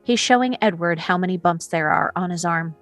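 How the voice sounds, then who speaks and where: Lower-voiced woman reading screenplay directions. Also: brisk, faint music behind. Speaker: stage directions reader. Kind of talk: scripted narration of screenplay action.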